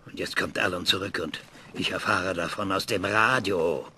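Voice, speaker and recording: An actor playing an older retired space scientist, halting in the interview and sharp and demanding on the phone in a radio play. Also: male voice, low voice, a little hiss or hum. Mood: indignant. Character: retired male scientist, gruff and indignant.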